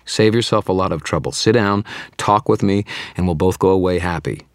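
A stressed, sardonic male wizard played by a male audiobook reader pleads urgently and emphatically. His voice is husky and low-set even when raised, recorded dry and close. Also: normal speed, dry recording, full character voice. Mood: pleading.

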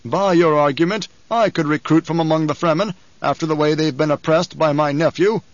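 A man's voice: a little high, fairly quick, no echo, natural voice. A man doing a blustering, barking fat baron. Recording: dull, dry recording.

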